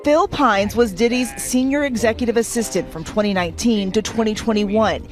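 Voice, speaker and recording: A woman's voice: a little high, normal speed. Woman news reporter over faint music. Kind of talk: scripted broadcast news narration.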